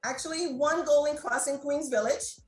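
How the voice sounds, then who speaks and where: High-voiced woman from Jamaica, chatty. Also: moderate pace, roomy sound, soft background music. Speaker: restaurant owner and guest. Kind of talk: interview conversation.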